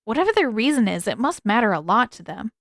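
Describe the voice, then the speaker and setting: An earnest young boy voiced by a woman narrator, high in pitch and full of curiosity. He talks eagerly and insistently, in storybook style, with no room sound. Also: sing-song, quick pace, gravelly, dry recording, studio quality, exaggerated voice.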